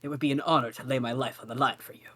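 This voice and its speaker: A devoted swashbuckling cat speaks low and husky, as a man reads him at a table read. His tone stays bright and clipped, and he sits a little back from the mic. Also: fairly even intonation, very fast. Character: loyal swaggering male cat swordsman.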